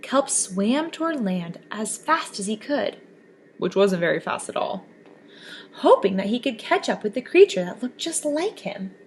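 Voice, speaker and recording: Lively woman reading a picture book. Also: a little high, measured pace, a little hiss or hum. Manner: expressive, lively storybook voices. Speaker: children's book reader. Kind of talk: read-aloud storytelling.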